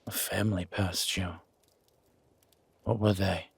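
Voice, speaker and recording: A man voicing a gruff, wary werewolf who guards his sanctuary, husky and measured, in a roleplay audio drama. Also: low voice, flat delivery, heavily acted. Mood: calm, measured. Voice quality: husky, gravelly rasp. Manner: slow, measured, dry, guarded. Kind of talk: roleplay audio drama, intimate one-sided dialogue.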